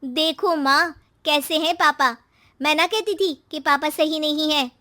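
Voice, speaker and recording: A woman's voice: high voice, measured pace, sharp tone, voice pushed up. A woman voicing a scheming, jealous young daughter in a storybook tale, insinuating and complaining.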